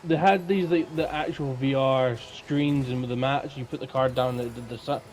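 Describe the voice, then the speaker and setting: A man's voice: medium pitch, fairly even intonation, moderate pace, darkish tone, a little hiss or hum, poor audio. A man co-hosting a podcast in casual, informal conversation. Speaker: podcast co-host.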